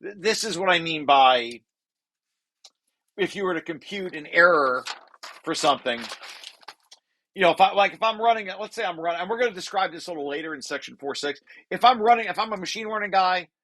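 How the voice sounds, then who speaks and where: A man presenting a technical paper, reading passages aloud and breaking off into informal, halting explanations with repeated restarts. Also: high-pitched, animated, a bit bright, slight background noise.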